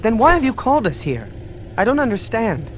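A man's voice: extremely high pitch, sing-song, a little fast, a little hiss or hum. A man voicing a young male dragon hero in a video game, earnest, youthful and determined. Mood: anxious, frustrated. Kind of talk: video game cutscene acting.

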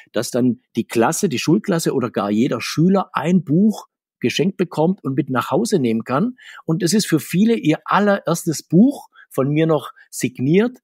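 A man talking conversationally as an interview guest, talkative and often correcting himself, with a husky voice. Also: mid-range voice, a little mellow, no room sound, clean studio sound. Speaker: guest, comics author.

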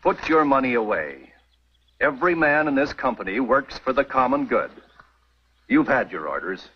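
A stern wagon train elder who hands out orders in formal speech, his voice husky with a lively pitch. The sound is a dull, old television soundtrack. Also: moderate pace. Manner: commanding, formal.

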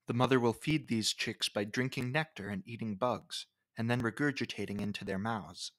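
A man's voice: lowish voice, fairly bright tone. Man narrating a nature explainer. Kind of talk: scripted educational nature narration.

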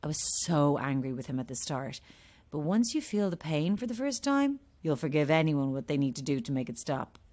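A woman's voice: sits low, sing-song, moderate pace, darkish tone. An actress playing a sardonic, world-weary woman trapped at an endless cursed party, dry and blunt, in an audio drama. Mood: matter-of-fact.

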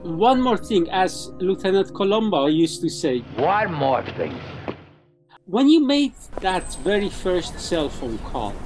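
A man with a high, lively voice puts questions as an interviewer. His tone swings widely and conversationally, with music behind him. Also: takes it slowly. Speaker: interviewer, journalist.

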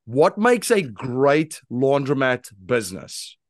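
A man's voice: medium pitch, sing-song, slow pace, dry recording, clean studio sound. A man in his thirties hosting a podcast, asking questions and reading a sponsor message in an earnest, emphatic way. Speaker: podcast host. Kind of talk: interview questions and scripted ad read. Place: room with gray backdrop.

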